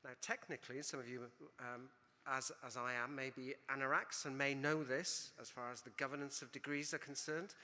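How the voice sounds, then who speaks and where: Emphatic Welsh-accented provost in a hall. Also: male voice, medium pitch, bright, forceful tone. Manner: playful, emphatic, bilingual, slows for emphasis. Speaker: university provost presiding over graduation. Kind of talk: ceremonial welcome speech. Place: large hall at a graduation ceremony. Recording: long hall reverb.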